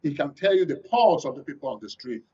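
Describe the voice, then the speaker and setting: A Nigerian man moderates a political talk show in a slightly high voice. He questions and introduces guests as host. The sound is somewhat dull and distant from the microphone. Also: big pitch swings, fast talker, warm tone, husky. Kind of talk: moderating a political radio and TV discussion.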